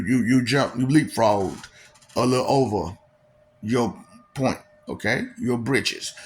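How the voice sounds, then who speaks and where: A man's voice: fairly low pitch, gravelly, a little hiss or hum. A man giving a casual, preachy monologue full of rhetorical questions and filler.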